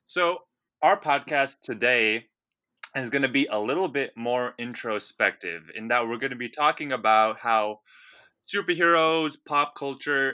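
Bright, dry-sounding young man. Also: medium pitch, no room sound. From India. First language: Punjabi. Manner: relaxed, rambling with many fillers. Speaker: podcast host. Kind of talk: casual podcast chat.

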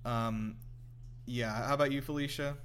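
A man's voice: mid-range voice, steady tone, moderate pace, a bit bright. A man hosting a casual film commentary chat, rambling and somewhat hesitant as he talks. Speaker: podcast host.

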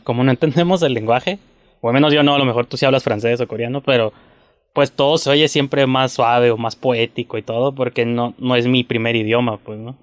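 A man's voice: mid-range voice, fairly even intonation, a little fast, dry recording. A man interviewing a guest in a chatty, rambling, slangy way. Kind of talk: casual interview talk.

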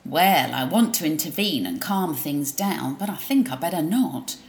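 A woman's voice: low-pitched, sing-song, normal speed. A woman narrating a drama in a wry, gossipy first-person voice.

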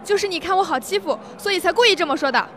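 A feisty blind girl, super high voice, dramatic poor-me act, crowd in the street behind. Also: lively intonation, racing, a bit bright. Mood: indignant, theatrical. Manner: indignant, theatrical, pleading. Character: feisty young blind beggar girl loudly playing the victim.

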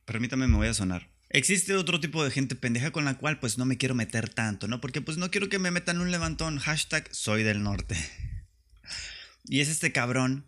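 Casting: male comedy podcaster, northern Mexican accent, mid-pitched voice, sweary and sarcastic monologue style. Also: animated, moderate pace, sharp tone. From Tijuana, Mexico. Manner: slangy, profane, sarcastic. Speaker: podcast host.

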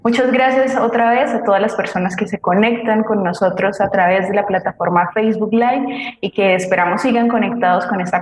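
A woman co-hosting a live online show, giving polite thanks and programme announcements over an online call. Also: medium pitch. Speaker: co-host. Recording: echoey room with faint noise.

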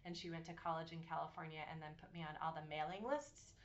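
A woman in her forties from Massachusetts, co-hosting a podcast and telling her story with earnest, warm detail and frequent ums. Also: deep voice, steady tone, fast talker, muffled recording. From Massachusetts, USA. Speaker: podcast co-host, university program director. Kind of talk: casual podcast chat.

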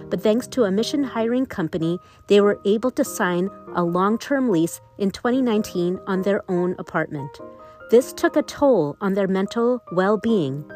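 A woman hosting a podcast, reading an enthusiastic intro and interviewing her guest. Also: medium pitch. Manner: upbeat, enthusiastic. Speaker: podcast host. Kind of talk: scripted intro and interview chat.

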